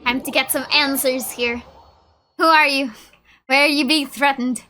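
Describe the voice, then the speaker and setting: A woman's voice: sits high, fairly even intonation, unhurried, bright, forceful tone, faint music behind. A woman doing casual gameplay commentary, reacting aloud in an informal way. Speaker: gaming streamer.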